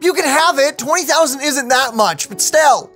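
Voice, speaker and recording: A woman giving casual, playful let's-play commentary in an animated manner. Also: sits high, normal speed. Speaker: gaming channel host. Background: faint game music.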